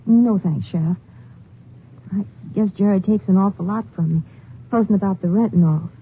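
A woman's voice: medium pitch, close to an everyday voice. Woman doing a jittery young housewife, keeps stumbling on words, tinny old radio sound with a bit of hiss. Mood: worried. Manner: halting, stammering speech. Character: anxious young wife, worried about money. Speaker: young wife and mother. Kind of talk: 1950s radio drama acting.

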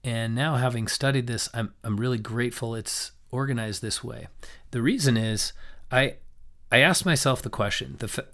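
A young man with a hoarse, raspy voice from a cold, teaching a recorded scripture lesson in a loose, thoughtful way with many restarts. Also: medium pitch, moderate pace, fairly bright tone.

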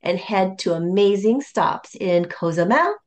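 A woman's voice: medium pitch, lively intonation, a little slow. A woman reading an advertisement in an upbeat, promotional way. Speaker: ad announcer. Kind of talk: read advertisement.

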